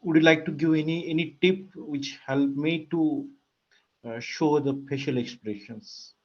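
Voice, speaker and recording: A man taking part in a workshop, asking his question hesitantly and haltingly over a muffled connection. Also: fairly high pitch.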